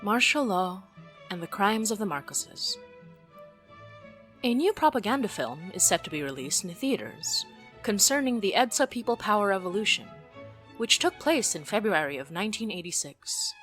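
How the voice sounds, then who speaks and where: Formal woman narrator, lively pitch, light music. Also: normal speed. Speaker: podcast announcer. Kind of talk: scripted informational narration.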